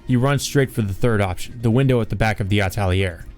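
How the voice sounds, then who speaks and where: A man's voice: on the low side, fast talker, a little mellow, soft background music. A man reading a visual novel aloud as a dramatic narrator for a let's play.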